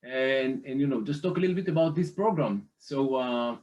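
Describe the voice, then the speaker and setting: A man from Israel with an Israeli accent, a guest walking listeners through a lending program on slides, speaking haltingly with many fillers and restarts. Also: mid-range voice, darkish tone, recorded from a distance, highs cut off. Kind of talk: slide presentation over a call.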